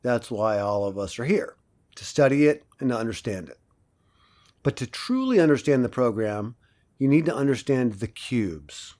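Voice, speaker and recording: Male lead for audio drama: actor playing a confident university lecturer, mid-pitched, didactic with a theatrical streak. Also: animated, moderate pace, a little mellow, natural voice. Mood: didactic, assured. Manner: didactic, theatrical, prompts listeners with questions. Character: self-assured male professor lecturing on future history.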